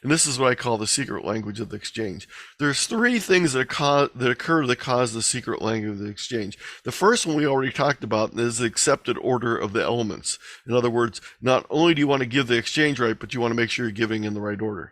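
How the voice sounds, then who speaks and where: A man presents an instructional online talk in a medium-pitched voice. He explains things plainly, one step after another, as if guiding learners through slides. The video-call sound is dry, with very little room around the voice. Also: animated, fast talker, fairly bright tone, dry recording. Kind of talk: explanatory slide lecture, lightly extemporized. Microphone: video call microphone.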